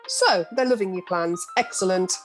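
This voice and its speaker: A woman's voice: medium pitch, moderate pace, faint music behind, lightly acted. A woman playing a breezy, bawdy middle-aged journalist in an amateur radio play, recorded over a video call.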